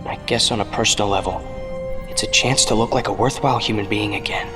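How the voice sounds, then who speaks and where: An actor playing an earnest, insistent young streetwise protégé to an older hero in an audio drama. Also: male voice, lowish voice, steady tone, fast talker, music in the background, lightly acted. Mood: pleading resolve. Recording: long cavernous reverb.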